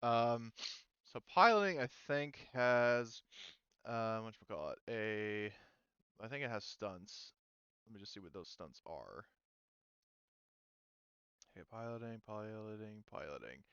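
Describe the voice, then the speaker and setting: Clear-voiced man running a game, slightly dull audio. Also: fairly low pitch, animated, measured pace, long pauses, fairly bright tone, no room sound. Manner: hesitant, thinking aloud. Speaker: game master. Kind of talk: casual tabletop roleplay game mastering.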